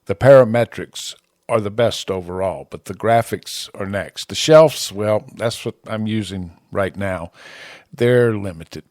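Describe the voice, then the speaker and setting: A man presenting a scripted amateur radio tutorial in plain, measured short statements, close on a broadcast microphone. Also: on the low side, a little mellow, dry recording. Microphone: close broadcast podcast microphone.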